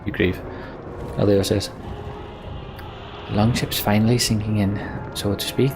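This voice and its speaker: A man's voice: fairly low pitch, fairly even intonation, a little slow. A man commentating on a video game, reading its text aloud and adding dry, resigned asides, with music behind him. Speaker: let's play host.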